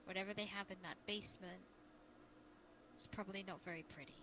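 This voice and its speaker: A woman's voice: mid-range voice, fairly even intonation, fast, lightly acted. A woman playing a caring, cautious guild leader in an improvised fantasy role-play, speaking earnestly and hesitantly over online voice chat. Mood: worried. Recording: noisy online voice-chat audio.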